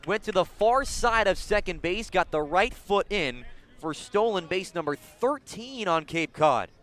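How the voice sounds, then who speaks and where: Male announcer, somewhat high pitch, live baseball radio commentary, descriptive, excitable on key plays. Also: unhurried.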